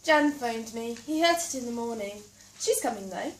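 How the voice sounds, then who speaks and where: A woman reading plays aloud as an amateur actor, brisk and chatty. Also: fairly high pitch, expressive, a little hiss or hum, close to an everyday voice. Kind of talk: play reading, theatrical. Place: small theatre stage. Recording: roomy theatre from far off.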